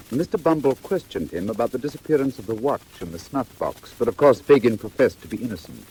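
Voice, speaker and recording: A man's voice: fairly low pitch, darkish tone. A man narrating a dramatised story in scripted 1940s style. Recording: noisy vintage 1940s record.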